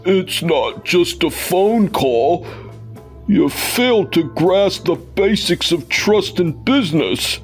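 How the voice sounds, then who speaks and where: Manga dub: authoritative father and company boss male actor, deliberate slow delivery, slightly high register, music underneath. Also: expressive, full character voice. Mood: stern.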